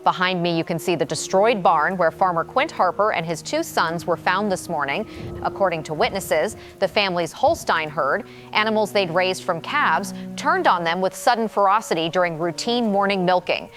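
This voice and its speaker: A woman's voice: lowish voice, normal speed, fairly bright tone, faint music behind, close to an everyday voice. A woman playing a serious field correspondent delivering a formal, scripted live news report in an audio drama. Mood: grave, reporting. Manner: formal, grave.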